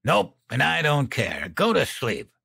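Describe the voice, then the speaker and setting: A man voicing a violent, sneering drunk smoker with a raspy croak and coarse, slangy speech, in an audiobook. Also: on the high side, wildly swooping pitch, unhurried, bright, forceful tone, no echo, clean studio sound, voice pushed up, full character voice. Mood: gruff, dismissive.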